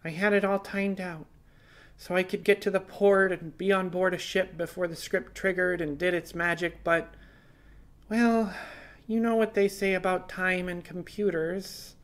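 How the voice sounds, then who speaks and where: A man's voice: high-pitched, flat delivery, unhurried, fairly bright tone, voice pushed up. A man voicing a defiant, earnest young female hacker on the run in an audiobook, explaining herself with wry asides. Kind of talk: audiobook character dialogue.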